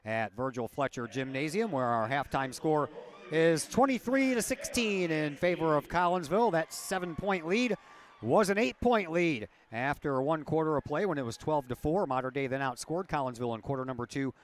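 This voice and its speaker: A hoarse man announcing a high school basketball halftime show from the press box, reading stats and sponsor ads in a chatty way. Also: expressive, moderate pace. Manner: chatty, self-deprecating asides between stat rundowns. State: hoarse, losing his voice. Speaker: play-by-play announcer. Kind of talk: halftime sports broadcast and read ads. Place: gym press box, alone.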